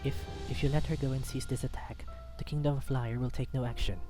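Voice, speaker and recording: A man voicing an injured, furred, antlered male creature who pleads desperately in a strained, halting way for a story. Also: mid-range voice, fairly even intonation, natural voice. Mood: pleading. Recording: background music under the voice.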